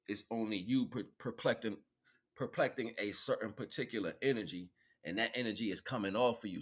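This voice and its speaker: A man's voice: fairly low pitch, fairly bright tone. A man giving an improvised motivational monologue as a livestream host, preaching in colloquial phrasing with emphatic repetition.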